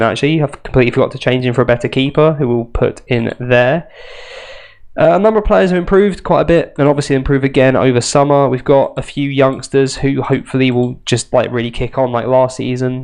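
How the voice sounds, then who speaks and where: Bunged-up nasal gamer. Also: male voice, mid-range voice, a little mellow, clean tone. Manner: chatty, self-mocking, wry asides. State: congested from a cold. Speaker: gaming youtuber. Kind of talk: casual let's play commentary.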